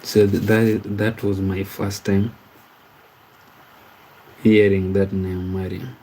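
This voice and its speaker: A man from Kenya chats casually on a livestream. His voice sits low and warm, and it barely rises or falls. He reads viewer comments aloud and stumbles over his words as he answers them. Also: little pitch movement, a little hiss or hum, poor audio. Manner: slow, halting, repeats words and restarts while reading comments aloud. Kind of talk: casual live-stream chat.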